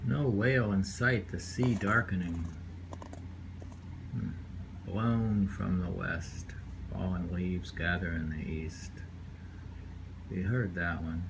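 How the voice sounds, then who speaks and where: A man's voice: low-pitched, steady tone, takes it slowly, creaky, pauses often, background noise. A man reading short poems aloud and musing on them casually, often repeating phrases. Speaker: poetry reader.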